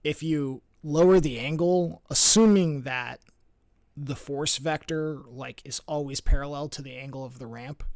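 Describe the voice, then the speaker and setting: A man's voice: a little high, measured pace, a little mellow, no room sound. Man tutoring casually, dry close sound. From United States. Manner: thinks aloud with many fillers and restarts. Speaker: math and physics tutor. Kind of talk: casual screen-share tutoring.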